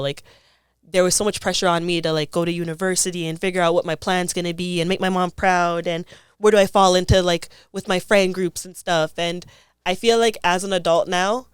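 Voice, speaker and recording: A woman's voice: fairly low pitch, clean tone, no echo, clean studio sound. A young woman, speaking as an interview guest. She talks in a thoughtful, casual way and often says "like.". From Toronto, Canada. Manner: thoughtful, frequent "like" fillers.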